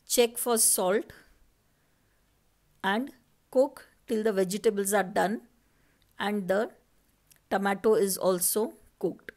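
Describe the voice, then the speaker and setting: A woman's voice: mid-range voice, darkish tone. A woman from India, narrating a recipe step by step as a cooking channel host over her footage. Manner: slow, step-by-step instructing.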